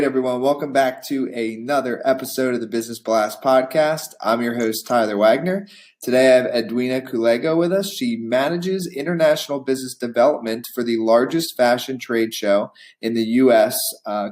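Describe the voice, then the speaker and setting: A man hosting a business podcast, reading an ad and putting prepared questions to his guest in a steady way. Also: mid-range voice, moderate pace. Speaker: podcast host. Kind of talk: podcast interviewing.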